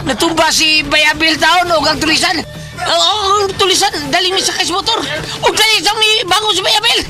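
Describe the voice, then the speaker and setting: A performer in a Bisaya radio drama playing an excitable onlooker, frantic and stammering as words get repeated. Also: very high voice, normal speed, music in the background. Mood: frantic alarm. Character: excitable onlooker shouting out a fight blow by blow.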